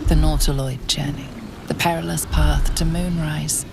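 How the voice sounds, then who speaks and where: A woman playing a grave, portentous storyteller, giving measured scripted narration for a fantasy game. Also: low-pitched, fairly even intonation, gravelly, background noise. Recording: loud music behind voice.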